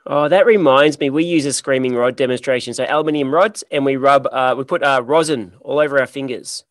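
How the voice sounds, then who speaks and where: A man hosting a podcast interview, talking in a lively, emphatic, conversational way. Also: medium pitch, fairly quick, no echo. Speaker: podcast host.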